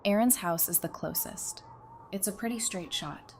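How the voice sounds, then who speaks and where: A woman's voice: mid-range voice, moderate pace, a little hiss or hum, close to an everyday voice. An actress playing a level-headed, plain-spoken woman survivor in an audio drama. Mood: calm. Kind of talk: fan audio drama acting.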